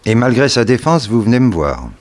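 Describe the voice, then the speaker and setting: An actor in a 1960s French radio drama playing a dry, attentive police chief with a measured, formal manner. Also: male voice, medium pitch, big pitch swings, darkish tone, natural voice. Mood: attentive, probing.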